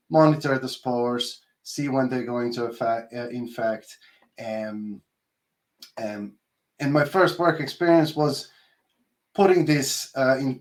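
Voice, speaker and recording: A man with a Bosnian accent giving an informal research presentation over a video call, speaking in a hesitant, halting way with many filled pauses. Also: mid-range voice. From Bosnia and Herzegovina. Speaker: postdoctoral researcher giving a talk. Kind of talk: informal online conference presentation.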